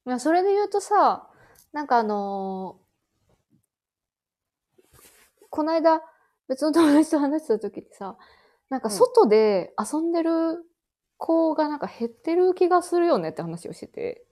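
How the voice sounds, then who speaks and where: A woman in her thirties with a Kansai Japanese accent, co-hosting a casual nostalgic podcast chat and telling stories with lively animation. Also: sits high, unhurried, pauses often. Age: 30s. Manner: animated storyteller, laughs while talking. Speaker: podcast co-host.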